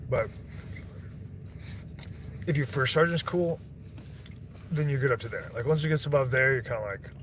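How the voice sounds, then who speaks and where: A man's voice: medium pitch, normal speed, slight background noise. A young man on a stream, rambling and swearing in casual chat, often breaking off and restarting his sentences. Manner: rambling, profane, self-interrupting.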